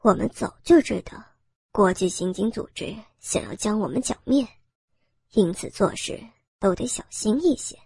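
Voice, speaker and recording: A woman voicing a lecherous, smug male gang boss in an audio story, taunting and menacing, with a husky edge. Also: on the high side, animated, normal speed, pauses often, a little mellow, no room sound, clean studio sound, voice pushed down, full character voice. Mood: mocking, smug. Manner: taunting, sneering.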